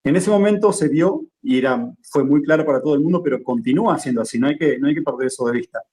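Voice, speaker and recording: Argentine guy journalist explaining stuff, keeps asking "¿sí?", somewhat high voice. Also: quick pace, dark tone. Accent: Argentine Spanish. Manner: explanatory, checks in with "¿sí?". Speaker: guest journalist. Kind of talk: interview conversation.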